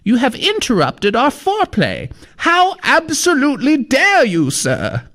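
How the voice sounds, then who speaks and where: Man playing a very high-pitched old lady, haughty, scolding, storybook voice. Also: huge pitch swings, measured pace, a bit bright, exaggerated voice. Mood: indignant. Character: elderly woman, indignant and lecturing.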